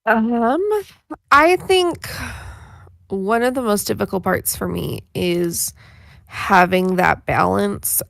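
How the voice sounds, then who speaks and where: A young woman speaks very slowly in a slightly low, gravelly-edged voice, recorded dry and close. She sounds candid and reflective, pausing to think aloud in casual panel talk. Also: lively intonation, fairly bright tone, dry recording. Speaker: voice actor and podcast panelist.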